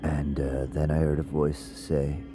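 A very low-pitched man tells his ghostly story in the first person. He sounds uneasy yet conversational, like a scripted audio drama confession. Music plays prominently beneath his words. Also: little pitch movement, a little slow. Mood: uneasy, recounting. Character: haunted man recounting a seance, uneasy, conversational. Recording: music bed under the voice.

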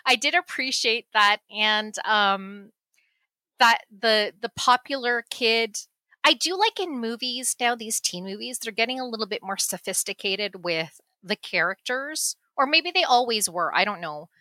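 Chatty adult woman, bright voice, dry close sound, casual movie-review guest, lots of restarts. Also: fairly high pitch, lively intonation, a little slow, no room sound. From United States. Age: adult parent. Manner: chatty, laughing, many restarts.

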